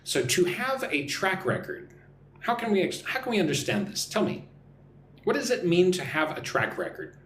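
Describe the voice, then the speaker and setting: A man's voice: high voice, very animated, moderate pace, a bit bright, a little hiss or hum. A young man from Texas hosting a live English lesson, reading out viewers' comments and answering them in a chatty, teasing way. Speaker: English teacher and livestream host. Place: home room in Vietnam.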